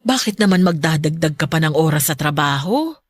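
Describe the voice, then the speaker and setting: A caring middle-aged mother with a fairly low voice. She is gentle and fretful, fussing over her grown child in Filipino radio drama style. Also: lively intonation, brisk, husky, no room sound. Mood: worried.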